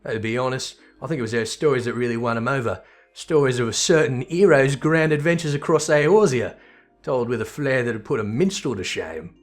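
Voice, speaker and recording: A man voicing a gruff, wry tavern keeper who teases and spins tales in rough, colloquial speech, as in a fantasy game, with music behind. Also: medium pitch, lightly acted. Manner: colloquial, teasing, rough-spoken. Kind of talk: character voice reading video game dialogue.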